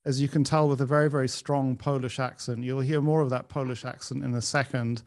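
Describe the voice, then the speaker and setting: A man's voice: medium pitch, flat delivery, fairly quick, a little mellow. A man hosting an interview, introducing and questioning his guest in a friendly, gracious way. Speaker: host. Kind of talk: casual interview conversation.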